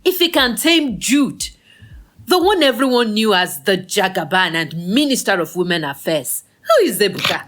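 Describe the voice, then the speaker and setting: A woman's voice: medium pitch, sing-song, normal speed, fairly bright tone. An actress with a Nigerian accent playing a bold, teasing, meddlesome young woman in an audio drama, brash and scolding in an emphatic way. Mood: insistent.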